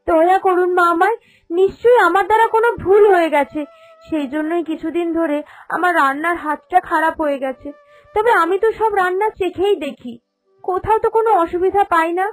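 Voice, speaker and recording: A woman's voice: very high voice, moderate pace. A woman voicing a meek, innocent young daughter-in-law in a cartoon, pleading submissively and sorrowfully. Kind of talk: cartoon storytelling dialogue. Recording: voice over background music.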